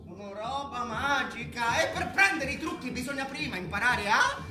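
A woman playing an excitable, boastful male parrot in a theatrical farm-animal fable, exclaiming her lines. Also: on the high side, moderate pace, natural voice. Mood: eager, sly. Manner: theatrical, exclaiming, swooping delivery. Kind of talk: stage-style radio theatre acting. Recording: echoey room with music behind.

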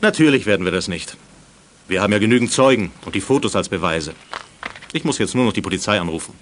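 A man acting a fast, animated, authoritative director. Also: lowish voice, natural voice. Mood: wry, firm. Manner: authoritative, formal, articulate. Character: stern but fair male director of a youth village. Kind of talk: 1980s German children's radio drama acting.

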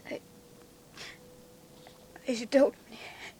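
An actress in a Dutch radio drama playing a shaken, respectful landlady who speaks haltingly. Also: whispered. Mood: shaken, grieving. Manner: halting, hushed. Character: shaken, respectful landlady breaking bad news.

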